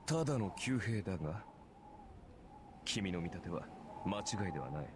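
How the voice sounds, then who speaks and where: An actor playing a composed, sardonic, battle-worn warrior in a Japanese visual novel, speaking in a dry, formal, measured way. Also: male voice, deep voice, expressive, background noise. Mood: wry, calm.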